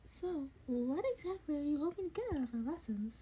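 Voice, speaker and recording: A woman's voice: high-pitched, animated, a little fast, warm tone, voice pushed up. A woman voicing a small furry animal school principal in an audio story, confident and curious, with polite, formal, probing speech. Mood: prodding curiosity.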